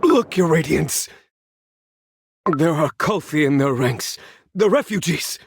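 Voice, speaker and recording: A man's voice: fairly high pitch, lively intonation, slow pace, a little hiss or hum. A man voicing a loyal, anxious royal guard in a video game, earnest, formal and urgent. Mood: grave warning. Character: loyal, dutiful royal bodyguard, anxious for his queen. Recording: voice over game music.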